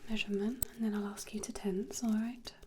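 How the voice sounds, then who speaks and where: A woman whispering gently and unhurriedly in an ASMR role-play, as if conducting a measuring appointment. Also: mid-range voice, fairly even intonation, fairly quick, slight background noise.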